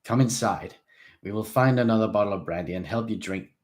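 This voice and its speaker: Man doing a grave older vampire, low voice, measured and steady. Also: lightly acted. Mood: urging. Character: old calm male vampire, grave and steady.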